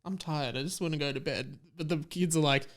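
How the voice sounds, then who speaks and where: A man's voice: on the high side, brisk. A man co-hosting a podcast, chatting casually and wryly as someone who laughs easily. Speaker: podcast co-host and author of the story. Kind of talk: casual comedic chat.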